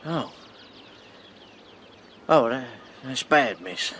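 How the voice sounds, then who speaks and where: Cast a man as a rough, halting riverboat captain with a slangy edge. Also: mid-range voice, lively intonation, fairly bright tone, a little hiss or hum. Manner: blunt, halting, slangy speech. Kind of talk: radio drama acting.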